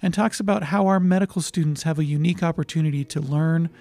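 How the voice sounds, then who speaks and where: A man's voice: fairly high pitch, fast, creaky, mellow. A man from Utah hosting a podcast, reading a guest introduction and then interviewing. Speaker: podcast host.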